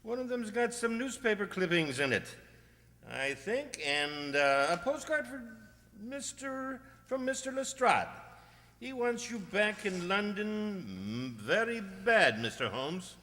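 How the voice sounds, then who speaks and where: A man's voice: high voice, very animated, slow, sharp tone, exaggerated voice. A man playing a cheerful, nosy, talkative village postman with a folksy, self-satisfied manner in a radio drama. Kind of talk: amateur radio drama acting. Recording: long hall-like reverb.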